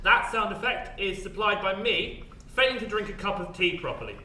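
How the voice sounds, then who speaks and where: A man's voice: high voice, normal speed, sharp tone. An actor playing a droll, officious male overseer, giving formal and pedantic announcements in a stage play. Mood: droll, brisk. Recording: roomy distant stage sound with noise.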